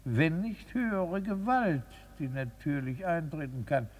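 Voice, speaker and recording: A man's voice: on the high side, unhurried. A man acting a placating, evasive lawyer in a 1950s radio drama, formal and courteous in an officious way.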